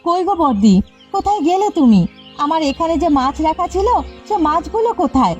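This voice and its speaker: Woman doing a high-pitched, pushy younger sister. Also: big pitch swings, a little fast. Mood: agitated. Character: pushy, money-minded younger sister, village fish seller. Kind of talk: Bengali cartoon dialogue. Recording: slightly muffled audio with background music. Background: background music.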